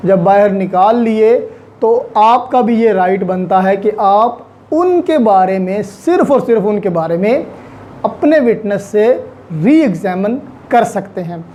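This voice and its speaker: Mellow-voiced Pakistani woman giving a lesson. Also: medium pitch, animated, measured pace. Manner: didactic, repeats key points for emphasis. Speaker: law lecturer. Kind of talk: explanatory lecture mixing in English legal terms.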